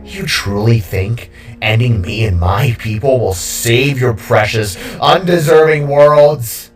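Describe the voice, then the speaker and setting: A man voicing a defeated villain king in an audio drama, bitter and gleefully unhinged, with a groggy, drawn-out, rambling delivery. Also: mid-range voice, recorded from a distance, natural voice. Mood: bitter, taunting. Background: music.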